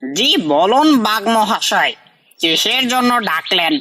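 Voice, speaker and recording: A sly, hungry little cartoon fox, played by a woman, with a forceful, bright voice at an ordinary height. It fawns on the powerful and mutters resentfully to itself, always the scheming underdog. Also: medium pitch, expressive, unhurried. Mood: servile. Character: small, hungry, cunning underdog fox, servile yet resentful. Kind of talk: scripted cartoon dialogue.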